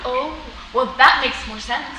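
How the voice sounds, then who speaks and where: A woman's voice: high voice, unhurried, bright, forceful tone, recorded from a distance, full character voice. An actress playing a fretful, melodramatic mother in a comedy sketch, recorded in an echoey room. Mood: relieved. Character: fussy, melodramatic, anxious mother of a student. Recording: echoey room with clear noise.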